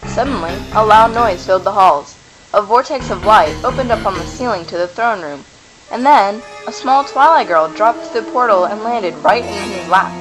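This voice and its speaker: A woman's voice: medium pitch, moderate pace, rough recording. A woman reading scripted narration for a fantasy radio play. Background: music.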